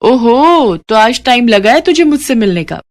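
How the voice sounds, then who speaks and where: A woman's voice: a little high, big pitch swings, measured pace, darkish tone, voice pushed up. A woman voicing a teasing, chatty young best friend in a cartoon story. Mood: teasing surprise. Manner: teasing, informal. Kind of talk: acted dialogue in a Hindi cartoon story.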